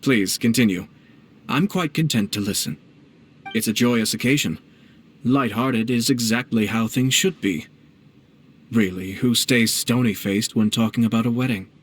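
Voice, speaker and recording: Male actor as young aristocratic clan leader, mid-pitched, measured, courteous, game dialogue, light ambient noise. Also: natural voice. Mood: courteous, gracious. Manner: formal, polite, measured. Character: courteous, composed young nobleman and clan head, shrewd. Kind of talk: video game voice acting. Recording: noisy ambient game backdrop.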